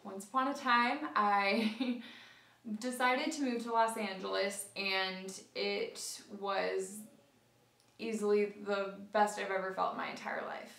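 Woman, 20s, from Phoenix; earnest storyteller, mid-pitched, echoey room, mic set back. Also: a bit bright. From Phoenix, Arizona. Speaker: model and actress interviewee. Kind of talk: earnest documentary testimony. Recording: roomy, distant mic.

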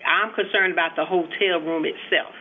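A man's voice: fairly high pitch, fairly even intonation, normal speed. A man working a hotel front desk, speaking firmly and insistently on the phone, exasperated. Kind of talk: phone customer service. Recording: tinny narrow phone line.

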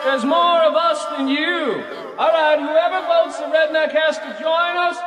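A man's voice: very high-pitched, measured pace. An actor playing a taunting parasite monster, the leader of his gang, jeering in a radio play. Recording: loud music and crowd behind.

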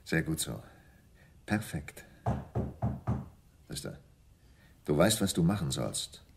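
An actor playing a polite, suave, insinuating schemer with a shady offer in a German radio crime drama. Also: male voice, extremely low pitch, very animated, slow, vocal fry, background noise, heavily acted. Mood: tense, secretive. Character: smooth, furtive scheming gentleman with a shady offer.

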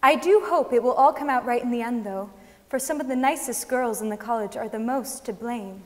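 A young woman with a very high voice reads dramatically from old letters and novels. Her voice rings with the long reverb of a concert hall. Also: a little fast, a little hiss or hum. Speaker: reader in a staged reading. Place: large concert hall stage before an audience.

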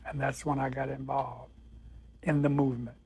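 An older man in a documentary interview, recalling past events slowly and emphatically. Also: mid-range voice, level tone, vocal fry, darkish tone, breathy edge. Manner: slow, emphatic recollection. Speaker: interviewee recalling the civil rights movement.

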